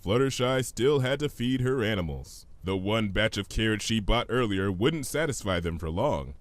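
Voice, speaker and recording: A man reading a story aloud as an expressive narrator. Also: lowish voice, moderate pace, dry recording. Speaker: story reader. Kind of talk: expressive scripted narration of fan fiction.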